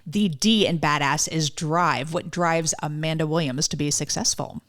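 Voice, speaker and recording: A woman's voice: sits low, normal speed, fairly bright tone. A woman in her forties hosting a podcast interview, chatty and enthusiastic with her guest. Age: 40s. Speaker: podcast host.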